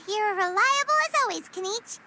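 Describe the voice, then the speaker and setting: Squeaky, very high fairy girl. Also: lively intonation, normal speed, heavy background noise. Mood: friendly, encouraging. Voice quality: squeaky, childlike. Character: tiny floating fairy girl companion, chirpy and earnest. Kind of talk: animated video game dialogue.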